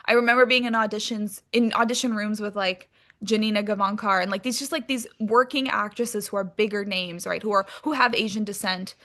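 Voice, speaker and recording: A woman's voice: mid-range voice, steady tone, a little fast, a bit bright. A woman being interviewed as a guest, talking casually and candidly with informal language and some swearing.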